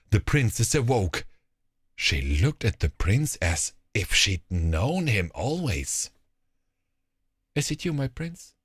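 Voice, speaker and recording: A man narrating a fairy tale as a storyteller, with deliberate, expressive phrasing. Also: on the low side, no echo, clean studio sound.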